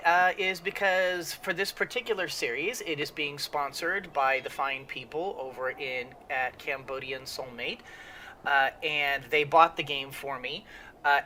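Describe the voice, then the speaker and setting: Sniffly, rambling gaming host. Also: male voice, a little high, sharp tone. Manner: rambling with many fillers and restarts. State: sniffly with a cold. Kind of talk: casual let's-play commentary.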